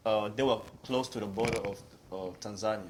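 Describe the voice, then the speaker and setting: A man from Congo, speaking calmly and matter-of-factly as a guest in a group chat. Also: lowish voice, a little fast. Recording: loud background noise.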